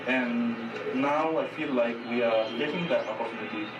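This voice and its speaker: A man's voice: mid-range voice, moderate pace, highs cut off. A man speaking in a reflective way, giving his own commentary. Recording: echoey, distant, under loud music.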